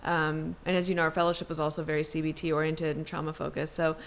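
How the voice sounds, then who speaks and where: A woman's voice: low-pitched, flat delivery, fast talker. A young adult woman psychiatrist from Maryland, talking casually and thoughtfully in an interview, with many fillers and self-corrections. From Maryland, United States. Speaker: psychiatrist guest.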